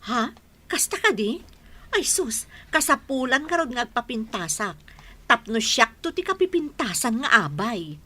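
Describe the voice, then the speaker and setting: A woman's voice: on the high side, big pitch swings, a little slow, sharp tone, exaggerated voice. A woman acting in an Ilocano radio drama as a chatty, boastful friend, exclaiming expressively with an Ilocano Filipino accent. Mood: excited, admiring.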